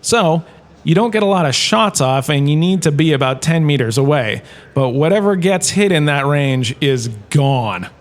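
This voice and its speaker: An actor playing a washed-up former star turned lecturer, giving a breezy, joking lecture in a comic audio drama. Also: male voice, a little high, expressive, moderate pace, lightly acted. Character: washed-up former star, now a lecturer, chatty and self-important.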